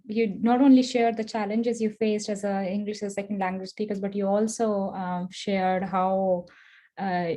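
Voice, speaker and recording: A woman's voice: mid-range voice, mellow. A woman moderating a panel, introducing speakers with a lot of hesitant fillers.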